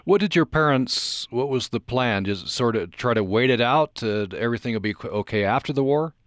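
A man's voice: mid-range voice, lively intonation, a little fast, dry recording, clean studio sound. Male radio host, brief questions. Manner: brief, hesitant follow-up questions. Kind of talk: interview questions.

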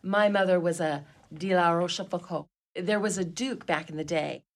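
A woman's voice: lowish voice, normal speed, close to an everyday voice. A woman playing a dignified, imperious wealthy mistress of an old mansion in a comedy radio play, with a grand, formal, theatrical way of talking. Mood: haughty, affronted.

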